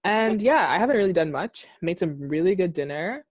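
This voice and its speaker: A young woman in her twenties with a low voice co-hosts a podcast, chatting casually and laughing easily. Her voice is recorded close and dry, with no room sound. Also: animated, a little fast, breathy edge, dry recording. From Bahamas. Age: 20s. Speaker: podcast co-host. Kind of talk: casual chat.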